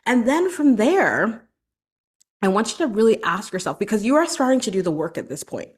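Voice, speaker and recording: A woman's voice: medium pitch, sing-song, moderate pace, husky. A woman hosting her own podcast as a vocal coach, talking alone in a chatty, encouraging way that is full of "like" and "right?". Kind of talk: solo podcast monologue.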